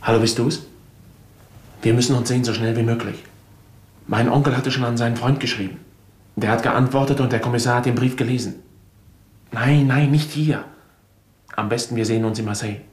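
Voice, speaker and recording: An actor playing a defensive young suspect. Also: male voice, mid-range voice, steady tone, fairly quick, pauses often, roomy sound, natural voice. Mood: urgent. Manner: defensive, flares up when pressed. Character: young man, nephew under police suspicion. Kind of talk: 1970s radio drama.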